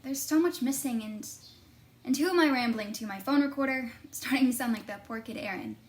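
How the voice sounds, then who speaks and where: A woman's voice: fairly high pitch, brisk, natural voice. A woman playing an earnest, determined investigative reporter who gets easily flustered, in a podcast-style audio drama. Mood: earnest, rambling. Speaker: actor and narrator in an audio drama. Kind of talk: podcast-style narration and naturalistic drama dialogue.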